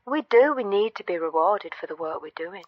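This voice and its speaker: An actress playing an earnest, indignant feminist caller, insistent and aggrieved, on a radio phone-in. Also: female voice, medium pitch, expressive, brisk, dry recording, natural voice. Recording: dull, dry radio phone-in line.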